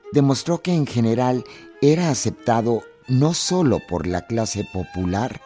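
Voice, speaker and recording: A man giving measured, expressive scripted narration for a historical radio drama. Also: medium pitch.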